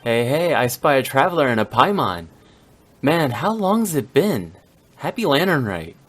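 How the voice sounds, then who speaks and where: A man voicing an upbeat, friendly young delivery courier in a video game, talking in a casual, energetic way. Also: mid-range voice, moderate pace, faint music behind, natural voice. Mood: cheerful greeting.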